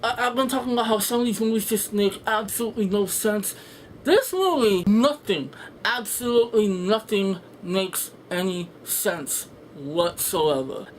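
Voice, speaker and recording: A woman's voice: medium pitch, unhurried. Exasperated, sweary woman over background noise. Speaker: film reviewer and host. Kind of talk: unscripted ranting movie review.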